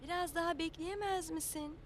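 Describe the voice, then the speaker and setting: A woman's voice: extremely high pitch, fairly even intonation, normal speed. An actress playing a kind, patient young mother in a preschool cartoon dub, affectionate and soothing with her children. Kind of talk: Turkish dubbed preschool cartoon acting.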